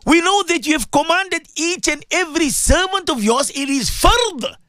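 A woman leading an impassioned, tearful prayer, pleading and swinging between shouted cries and sobbing whispers. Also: extremely high pitch, expressive, normal speed, no room sound, studio quality. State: crying. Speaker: leader of a prayer.

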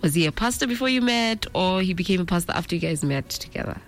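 A woman's voice: on the low side, lively intonation, fast. Young woman interviewer. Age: 20s. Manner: frequent murmured agreement. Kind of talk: casual interview.

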